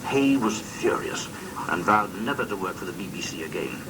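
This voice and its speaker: A man's voice: deep voice, lively intonation, moderate pace, very noisy. A man reading a scripted biographical documentary narration, recorded on an old cassette. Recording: muffled distant old cassette copy, music behind. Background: music.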